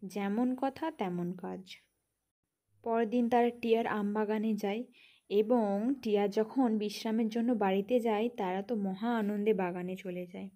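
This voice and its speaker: A woman's voice: mid-range voice, steady tone, moderate pace, warm tone. A woman reading scripted narration for a children's cartoon story.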